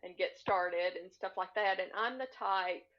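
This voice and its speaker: A woman's voice: mid-range voice, a little slow. Plain-spoken woman, dull lo-fi audio. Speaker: podcast guest, hobby quilter. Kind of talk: casual interview chat. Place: remote split-screen video call.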